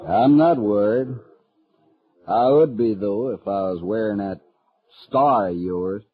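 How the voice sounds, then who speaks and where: Laconic cowhand, tinny old radio. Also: male voice, lowish voice, slow. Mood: cool, needling. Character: unworried, insolent cowhand loyal to a cattle boss. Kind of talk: 1950s western radio acting. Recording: tinny band-limited old radio recording.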